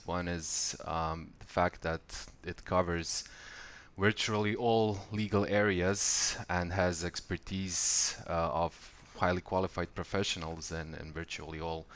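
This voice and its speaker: A man in his thirties from Croatia with a Croatian accent, speaking in a measured, formal way as a podcast guest. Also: deep voice, a bit bright. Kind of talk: casual conversation.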